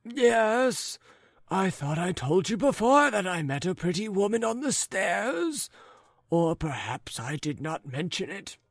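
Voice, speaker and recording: A man doing a forgetful, coughing old gentleman. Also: sing-song, gravelly, no echo, studio quality, heavily acted. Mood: rambling. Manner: high, quavering, drawn-out chant.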